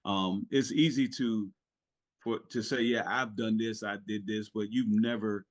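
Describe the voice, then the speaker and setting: A man's voice: fairly low pitch, steady tone, unhurried. A middle-aged man, a retired Navy chief, talking earnestly as a podcast guest, with many fillers and restarts. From South Carolina, raised in Italy. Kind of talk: casual podcast interview.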